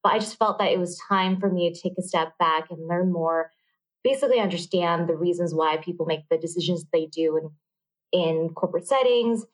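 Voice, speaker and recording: A woman's voice: fairly low pitch, normal speed, poor audio. An American woman from California, whose first language is Vietnamese, answering interview questions as an MBA student in a bubbly way and laughing often. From California, United States.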